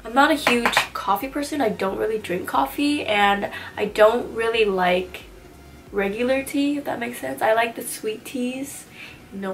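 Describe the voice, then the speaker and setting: A woman's voice: on the high side, a bit bright, slight background noise. Young woman vlogger, a bit nasal from a cold, casual slangy chatter, talking close to the camera in a small room. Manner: chatty, slangy and self-teasing. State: stuffy nose from a cold. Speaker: beauty vlogger. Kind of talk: casual makeup tutorial chat.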